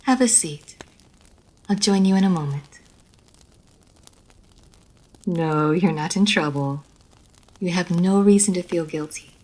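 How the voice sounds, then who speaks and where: A woman voicing a refined, proud lady vampire who is easily flustered, speaking formally and with measured care in an audio roleplay. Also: on the low side, a little mellow, low-quality recording. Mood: courteous, composed. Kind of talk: scripted audio roleplay addressed to the listener.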